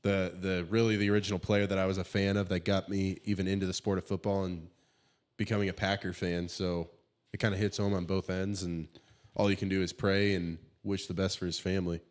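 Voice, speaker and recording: A young man with a low voice and a slightly stuffed-up nose chats on a casual podcast. He talks in halting bursts, leaning on fillers and restarting his thoughts. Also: flat delivery, a little mellow. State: stuffed up from allergies. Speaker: podcast co-host. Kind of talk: casual chat.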